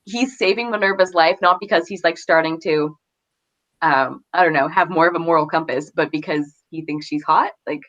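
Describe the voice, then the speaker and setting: A woman's voice: low-pitched, normal speed. A woman co-hosting a casual book discussion, acting out quoted asides and often saying "like", on a dull recording. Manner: animated retelling with quoted asides, frequent "like". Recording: dull lo-fi audio.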